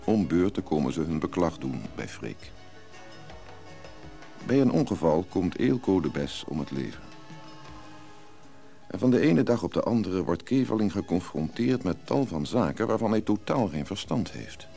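Deep, dark, creaky male narrator. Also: big pitch swings, moderate pace, faint music behind. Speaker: radio drama narrator. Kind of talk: scripted narration of serial recap.

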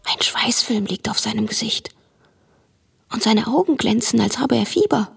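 An actress playing a dutiful, watchful young woman who narrates a radio drama in a husky voice. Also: fairly high pitch, moderate pace, heavily acted. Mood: anxious, confiding. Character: dutiful, watchful girl confiding what she sees. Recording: music behind the voice.

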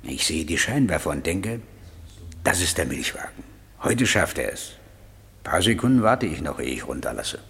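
An actor playing a chatty railway crossing keeper with a folksy storytelling manner in a German radio crime drama. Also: male voice, rumbling low, big pitch swings, moderate pace, gravelly, fairly bright tone, breathy edge, exaggerated voice. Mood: chatty, amiable.

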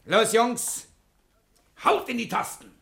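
An actor playing a quick-witted, evasive male ship passenger in a 1960 German radio play. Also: very high-pitched, big pitch swings, over loud music, exaggerated voice. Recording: noisy old radio play recording.

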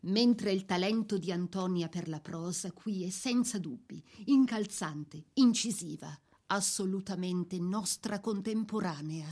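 A woman serves as narrator in a literary drama. She has a mid-pitched voice and reads scripted narration with articulate, emphatic phrasing. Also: a little slow, few pauses, a little mellow.

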